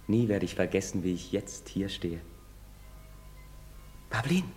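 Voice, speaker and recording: Slow, expressive young apprentice. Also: male voice, low-pitched, faint music behind. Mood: elated, dreamy. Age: young adult. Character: earnest, dreamy, defiant young carpenter's apprentice. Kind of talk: theatrical stage acting. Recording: reverberant theatre hall.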